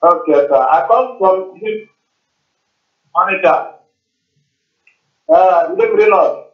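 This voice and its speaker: A man's voice: sits high, sing-song, takes it slowly, vocal fry. A man with a Nigerian Igbo accent calling in to a show, speaking hesitantly and deferentially. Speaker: caller. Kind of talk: hesitant phone-in conversation. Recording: echoey, muffled call on a stream.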